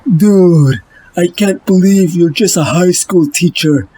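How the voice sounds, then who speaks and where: A male actor playing a cocky, mocking young supervillain in a monstrous alien suit, with a husky voice, for a motion comic. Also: high-pitched, slow, full character voice. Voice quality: husky, dark. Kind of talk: motion-comic villain acting.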